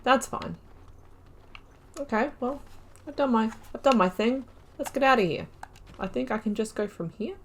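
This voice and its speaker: A woman's voice: fairly high pitch, moderate pace, long pauses, fairly bright tone, slight background noise. A woman hosting a game playthrough, chatting informally with wry asides and reading on-screen text aloud. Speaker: gaming video host.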